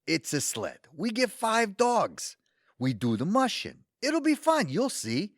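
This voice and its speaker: A man's voice: high voice, huge pitch swings, slow pace, no echo, clean studio sound, full character voice. Man playing an eager, swooping Brooklyn sweetheart. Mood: cheerful, reassuring. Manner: enthusiastic, folksy, colloquial. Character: eager, sweet, older Brooklyn man wooing his date. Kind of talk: audio theatre comedy acting.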